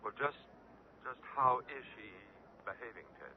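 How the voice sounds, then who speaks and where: A man's voice: medium pitch, a little slow, close to an everyday voice. A man playing a puzzled, cautious theater man on the phone in a 1970s radio drama, hesitant and questioning. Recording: quiet muffled telephone voice in radio drama.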